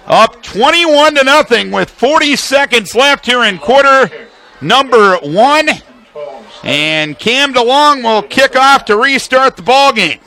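A man with an American accent calling live football play-by-play on the radio in an animated, clipped way from a press booth. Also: very high voice. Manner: animated, clipped phrasing, shouts on big plays.

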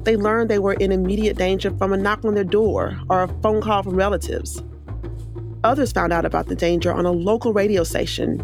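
Woman, podcast co-host, measured scripted narration, faint music underneath. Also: mid-range voice, fairly even intonation, a little mellow.

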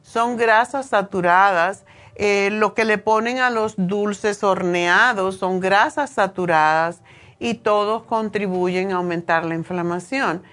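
A woman's voice: mid-range voice, a bit bright. A woman from Cuba in her sixties with a Cuban Spanish accent, giving an informal, opinionated health talk with many hesitations. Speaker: nutrition show host.

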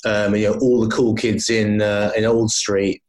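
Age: middle-aged. Sex: man. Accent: English, from London. Role: founder being interviewed. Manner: thoughtful, hesitant restarts. Pitch: on the low side. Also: level tone, unhurried, darkish tone. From London, England. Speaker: company founder, podcast guest. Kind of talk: conversational interview.

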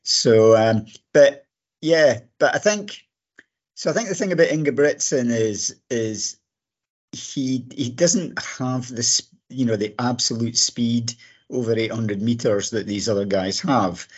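A middle-aged or older man with a slightly hoarse voice, co-hosting a podcast with casual sports analysis. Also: medium pitch, a little slow, muffled recording. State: a bit hoarse. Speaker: podcast co-host. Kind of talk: casual sports commentary chat.

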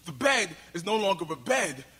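Very high, husky man performing spoken word. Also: big pitch swings, normal speed, sharp tone. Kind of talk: rhythmic, impassioned spoken word storytelling.

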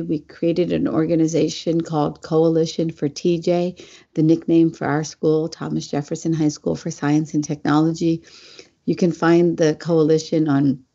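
A woman's voice: low-pitched, mellow. Woman, parent activist, earnest and urgent, rallying listeners, lo-fi call sound. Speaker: parent activist, panel guest. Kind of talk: rallying appeal to an audience. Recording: muffled lo-fi audio.

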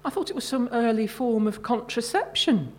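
A woman's voice: fairly high pitch, animated, normal speed. A woman voicing an attentive, inquisitive grown-up daughter with a wry manner in a short story reading. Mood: surprised, wry.

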